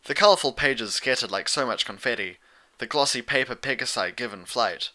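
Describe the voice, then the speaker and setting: A man's voice: medium pitch, a little fast, sharp tone. A man reading a story aloud as a scripted audiobook narrator.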